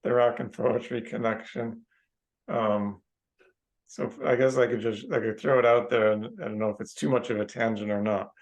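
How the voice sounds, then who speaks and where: A man's voice: on the low side, steady tone, normal speed. A man on a podcast telling a casual anecdote in a hesitant, rambling, tentative way. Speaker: podcast participant.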